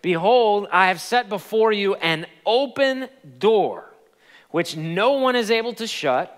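A man in his forties preaching a sermon on a large church stage into a headset mic, animated and emphatic. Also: high-pitched, takes it slowly. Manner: animated, gesturing preacher with rising emphatic peaks. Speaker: pastor. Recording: long hall reverb.

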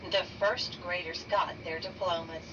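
A woman reading a children's picture book aloud slowly and deliberately, raising her pitch for the characters' lines. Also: steady tone. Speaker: read-aloud narrator. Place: bedroom, indoors. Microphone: phone camera held over the book. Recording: dull lo-fi sound from a distance with noise.

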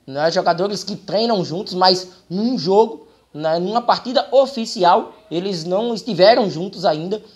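A young man with a Brazilian accent giving football commentary to camera, explaining things and often adding short checking tags. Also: high-pitched. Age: young adult. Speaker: football commentator and channel host. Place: small room indoors.

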